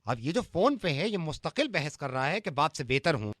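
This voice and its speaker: A man's voice: on the high side, sing-song, brisk, a bit bright, dry recording, clean studio sound. A man making a prank phone call in a mock-earnest, argumentative and rambling manner. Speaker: prank caller.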